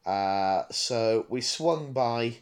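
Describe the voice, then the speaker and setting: A man's voice: mid-range voice, animated, very slow. A man with a British accent, chatting casually as a podcast co-host. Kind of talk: casual chat.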